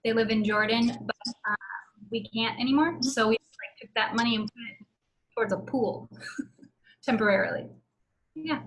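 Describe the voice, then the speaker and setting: Woman on a video call, kinda high voice, chill and chatty. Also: normal speed, pauses often, low-quality recording. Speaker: panel guest. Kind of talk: video call panel discussion.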